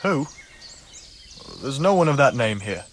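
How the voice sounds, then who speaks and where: A guarded medieval charcoal burner in a video game. He hedges warily, with lively swings in his intonation. Faint birdsong and outdoor noise sit behind the voice. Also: mid-range voice, a little slow. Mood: evasive. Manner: wary, hedging. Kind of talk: video game cutscene dialogue.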